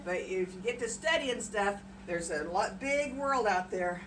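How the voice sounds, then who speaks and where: A woman's voice: medium pitch, animated, a little slow, fairly bright tone. A woman with a folksy, chatty, encouraging manner, telling folktales to children and prompting them along. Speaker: storyteller and host. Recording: noisy room, distant mic.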